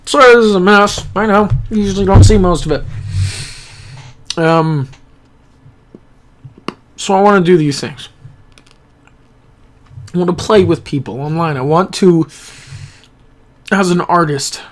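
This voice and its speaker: A young man speaks in a slightly higher voice than most men. He rambles earnestly and reflectively, with pauses and restarts. The mic is close, as if he is talking straight into his camera. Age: young adult. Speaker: gaming YouTuber. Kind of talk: rambling personal vlog monologue. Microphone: camera-mounted microphone, close.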